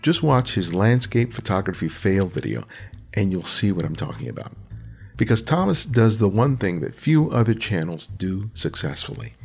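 A man's voice: on the low side, big pitch swings, normal speed, gravelly, breathy edge, faint music behind. A man hosting a photography interview podcast, chatty, with restarts when he asks questions. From United States. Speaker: podcast host. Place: home studio, Southern California.

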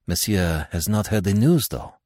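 A man's voice: low voice, lively intonation, moderate pace, dark tone, no echo, clean studio sound. Man voicing an eager, hesitant waiter. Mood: excited. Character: excited, deferential male hotel waiter.

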